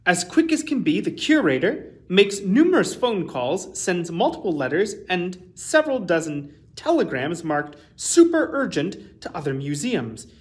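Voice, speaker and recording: Expressive, lively male storyteller. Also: sits high, measured pace, few pauses. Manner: expressive, carefully enunciated storytelling. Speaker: museum storytime host. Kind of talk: reading a children's picture book aloud. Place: small indoor room with shelves.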